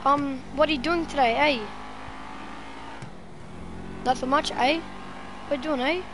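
A woman's voice: sits high, measured pace. A young woman casually commenting on her driving game for her chat, playfully trying out a New Zealand accent. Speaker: gamer streaming to chat. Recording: game car engine noise behind.

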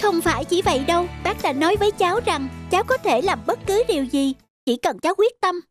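A brave young girl in a hospital cartoon. She speaks sincerely in a very high voice over clear background music. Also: lively intonation, brisk. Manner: sincere, grateful.

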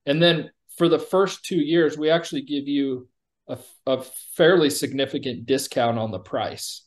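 A man's voice: a little high, moderate pace. A man in his forties giving an informal product demo over a video call in a plain-spoken, explanatory way. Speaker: company chief executive giving a product demo. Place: indoor room on a video call. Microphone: video call microphone.

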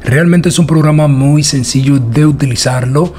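A man narrating a casual software tutorial in a chatty, repetitive way. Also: a little high, moderate pace. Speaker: tutorial host.

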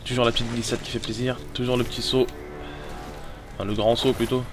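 A man with a cold giving casual, excitable commentary while playing a video game, swearing often. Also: mid-range voice, fairly quick, over music. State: has a cold. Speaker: gamer. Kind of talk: let's play commentary. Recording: voice over loud game sound.

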